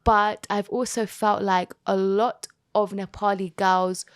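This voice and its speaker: A young woman talks as a podcast guest, chatty and expressive, sprinkling "like" through her sentences. Her voice sits at a middling pitch, and the recording is dry and close with little room sound. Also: slow pace, smooth, dry recording. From London, England. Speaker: guest, TV and radio presenter. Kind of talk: casual podcast chat.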